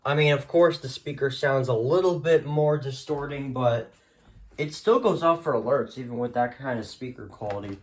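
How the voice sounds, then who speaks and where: A man's voice: mid-range voice, normal speed. A man giving a casual, unscripted product demo in a rambling, hesitant way, often trailing off into "so yeah". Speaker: hobbyist video maker. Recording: clearly noisy amateur home recording.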